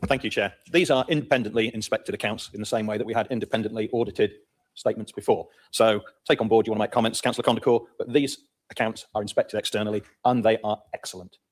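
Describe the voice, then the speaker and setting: A man's voice: lowish voice, steady tone, quick pace. A man speaking as a councillor in a debate, confident and joking at rivals. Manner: confident, jokey.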